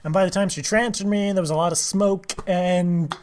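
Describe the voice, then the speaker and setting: A man making a prank call, speaking in a deadpan, mock-earnest way with halting, rambling delivery. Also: high voice, slight background noise. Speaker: prank caller, host of a prank call show.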